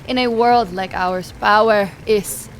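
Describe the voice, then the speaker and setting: A woman's voice: medium pitch, steady tone, slow pace, a little hiss or hum. A woman playing a flamboyant, confident and teasing best friend in an audio drama, theatrical. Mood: sassy. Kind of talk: full-cast audio drama acting.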